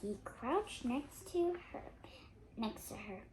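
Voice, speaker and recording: An eight-year-old girl reading a storybook aloud, carefully and a little haltingly, with expression. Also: a little high, sing-song, darkish tone. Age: child of 8. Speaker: young reader. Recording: noisy home recording.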